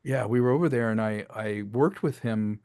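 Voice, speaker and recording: Man, medium pitch, filmmaker interviewee, casual storytelling, conversational check-ins, dry recording. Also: normal speed, a little mellow, clean tone, no room sound. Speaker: filmmaker and audio drama creator being interviewed.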